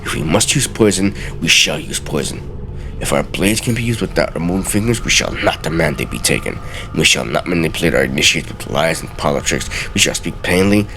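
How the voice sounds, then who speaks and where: A man giving a solemn, deliberate, theatrical dramatic reading of journal entries from a game. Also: very deep voice, expressive, noisy background. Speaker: let's play host.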